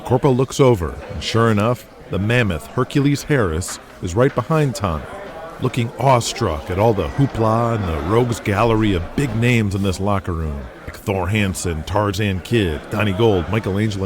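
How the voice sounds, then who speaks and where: A man's voice: fairly low pitch, moderate pace, a crowd in the background. A man narrating an audio drama in scripted, present-tense storytelling style. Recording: music playing behind the voice. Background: music.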